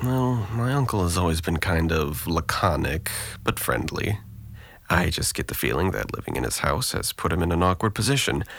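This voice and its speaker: Audio drama actor for a wry, weary young man in therapy. Deep, creaky voice, dry close sound. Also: fairly bright tone, no echo, full character voice. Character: depressed young man in therapy, guarded, wry, self-deprecating.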